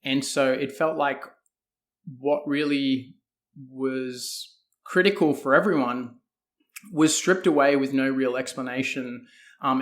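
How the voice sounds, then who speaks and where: A man with an Australian accent answering as a guest in a conversational interview, hedging and thinking aloud as he goes. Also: mid-range voice. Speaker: guest, fitness coach.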